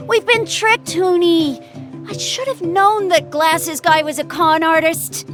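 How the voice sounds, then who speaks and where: A woman's voice: very high voice, steady tone, slow pace, over music. A woman voicing a small, plucky, blunt village child in a video game, with a childlike voice. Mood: indignant.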